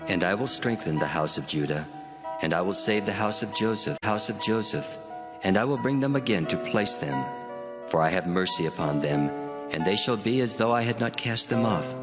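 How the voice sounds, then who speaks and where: An actor playing the solemn voice of God, declaiming promises in archaic language for a dramatized scripture reading. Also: male voice, on the low side, animated, normal speed, over music, natural voice. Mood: solemn, assuring.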